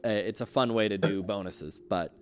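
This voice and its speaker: A man's voice: lowish voice, moderate pace, soft background music. A man hosting an interview in a casual livestream chat style, talking in a loose, informal, rambling way. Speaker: interview host.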